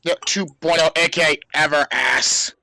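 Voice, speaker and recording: A man with a bright, fairly high voice co-hosts a wrestling podcast. His tone is sharp and his delivery is casual and conversational. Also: fairly even intonation, measured pace, vocal fry, no room sound. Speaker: podcast co-host. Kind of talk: casual chat about pro wrestling.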